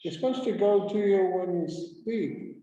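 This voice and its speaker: A woman's voice: medium pitch, unhurried, warm tone, slight background noise. A woman at a library board meeting, asking in puzzlement about how the video-call camera view works. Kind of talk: casual chat before a board meeting. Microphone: room microphone, from far off. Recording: dull, echoey room audio from far off.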